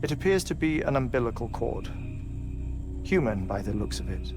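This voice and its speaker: An actor playing an eccentric, grieving middle-aged scientist in a video game, speaking in a deliberate, theatrical, eloquent way with thoughtful pauses. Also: male voice, mid-range voice, big pitch swings. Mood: intrigued. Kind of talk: dramatic game cutscene acting. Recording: game soundtrack music behind voice. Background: music.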